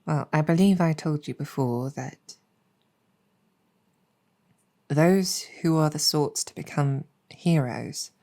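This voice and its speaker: Woman playing a female demon general, low-pitched, slow, earnest, reflective, hesitant, intimate roleplay storytelling. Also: mellow. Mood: patient, explaining. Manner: hesitant, reflective, frequent pauses and sighs. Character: weary, earnest demon general woman, once tricked hero.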